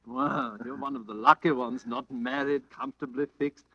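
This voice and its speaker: An actor playing a jovial yet slyly menacing stranger, chatty and familiar, in an old-time radio thriller. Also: male voice, medium pitch, fairly even intonation, normal speed, no echo, natural voice. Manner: chatty, sly, chuckling. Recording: dull, boxy old radio recording.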